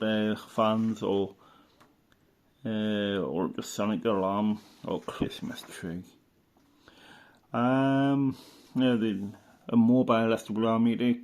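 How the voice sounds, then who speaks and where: Man, middle-aged, lowish pitch, informal hobbyist commentary, hesitant, rambling. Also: darkish tone. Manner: informal, hesitant, thinking aloud. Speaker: hobbyist vlogger. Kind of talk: casual rambling show-and-tell commentary.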